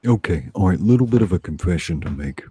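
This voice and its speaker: A man's voice: low voice, animated, fast, mellow, husky. A man in casual livestream conversation as a co-host, talking informally and repeating his phrases.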